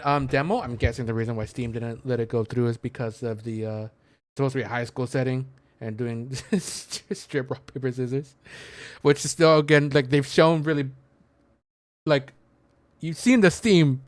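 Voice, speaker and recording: A man's voice: medium pitch, no echo. A man streaming a game with casual, rambling commentary, thinking aloud as he searches for words and reads things out. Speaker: video game streamer and host.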